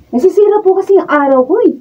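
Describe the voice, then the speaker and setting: A woman's voice: extremely high pitch, lively intonation, quick pace, dark tone. A Filipino woman playing a fed-up, defiant daughter-in-law in a radio drama, answering back pointedly. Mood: curt restraint. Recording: distant mic, dull sound.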